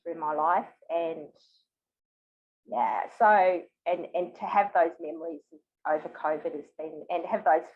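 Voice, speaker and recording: A woman's voice: fairly low pitch, fairly even intonation, unhurried, phone-quality sound. A woman in her fifties with a New Zealand accent, chatting expressively and telling stories casually as an interview guest. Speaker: podcast guest.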